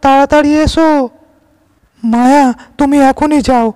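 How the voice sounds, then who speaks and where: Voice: high-pitched, fairly even intonation, unhurried, no echo. An actor voicing a humble, earnest poor village labourer in a cartoon moral story, speaking respectfully. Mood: excited. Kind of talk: Bengali animated moral story dialogue. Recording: loud music under the voice.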